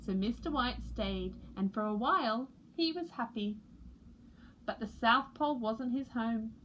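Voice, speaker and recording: A woman reading a children's picture book aloud with expressive narration. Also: a little high, measured pace, a little hiss or hum, rough recording. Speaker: storybook reader for a school.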